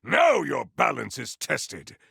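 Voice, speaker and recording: Stately warrior with dramatic pitch swings. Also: male voice, wildly swooping pitch, slow pace, vocal fry, pushed and bright, husky, no room sound, studio quality, exaggerated voice. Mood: grim, resolute. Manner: formal, archaic, theatrical diction. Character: proud, formal, honor-bound male warrior, grandiose and battle-hungry. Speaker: video game voice actor. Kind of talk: theatrical video game character voice lines.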